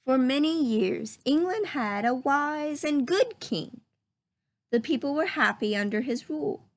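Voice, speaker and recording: A woman reading a children's story aloud as narrator, with expressive, deliberate story-time delivery. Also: fairly high pitch. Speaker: storybook reader.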